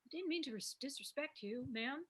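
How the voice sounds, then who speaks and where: Gal doing a perky young diner waitress, folksy, high voice that bounces all over, script read. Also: female voice, expressive, brisk, fairly bright tone. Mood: flustered. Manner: folksy, chirpy. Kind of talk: screenplay table read.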